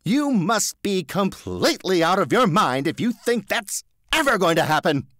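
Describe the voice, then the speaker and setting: A man voicing a timid, rule-bound male car salesman who pleads and then yells in panic. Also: very animated, moderate pace, no room sound, studio quality. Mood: panicked. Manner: pleading, high and strained, rising to yells.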